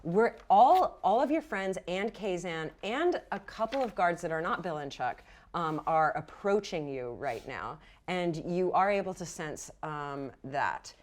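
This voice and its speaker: A woman's voice: on the low side, expressive, unhurried, hardly any pauses. A woman game master narrating and explaining rules off the cuff in an encouraging way. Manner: encouraging, affirming.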